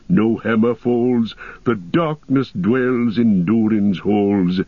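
A man's voice: fairly low pitch, slightly rough, dry recording, dull audio, full character voice. A man voicing a proud dwarf of ancient lineage, stately and rhythmic, in a fantasy audiobook. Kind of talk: chanted verse in fantasy audiobook.

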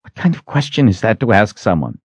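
A man voicing a defiant, anxious young woman in an audiobook, halting and protesting. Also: lowish voice, sing-song, no echo, pitched above the performer's own voice. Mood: flustered defiance.

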